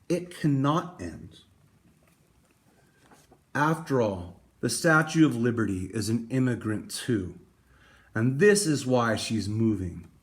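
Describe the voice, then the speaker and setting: A man reading a picture book aloud, with dramatic emphasis and deliberate pauses. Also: medium pitch. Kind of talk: expressive storybook read-aloud.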